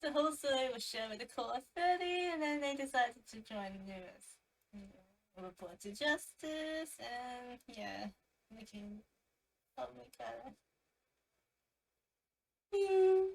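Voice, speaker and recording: High-pitched, cutesy woman streamer, unhurried. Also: expressive, gravelly, slight background noise, poor audio. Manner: cutesy, babyish interjections. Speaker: VTuber game streamer. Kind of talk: casual livestream reaction chat. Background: game music.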